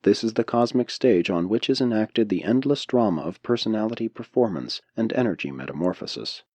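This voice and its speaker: A man narrating a spiritual audiobook from a script in a measured, formal way with deliberate enunciation. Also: lowish voice, animated, dark tone, no room sound. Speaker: audiobook reader.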